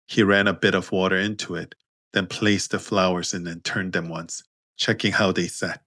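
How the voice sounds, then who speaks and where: A man narrating scripted fiction as an audiobook, in a measured, understated manner. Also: low-pitched, flat delivery, sharp tone, no room sound, clean studio sound. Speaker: author and narrator.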